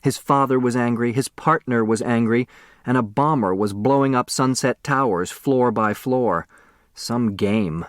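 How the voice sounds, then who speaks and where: Audiobook reader: male, mid-pitched voice, expressive scripted narration of children's fiction, dry studio-like sound. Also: a little slow, dry recording.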